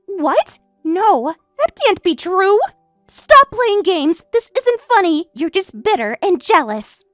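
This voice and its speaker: A catty young home-wrecker with a very high, bouncy voice that swoops up and down as she taunts and brags, played by a woman. The sound is dry and close, and faint music plays under it. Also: very animated, slow, a bit bright, no room sound, full character voice. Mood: indignant. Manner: taunting, boastful, indignant. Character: smug, catty young woman who stole her best friend's husband. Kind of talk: voiced story drama.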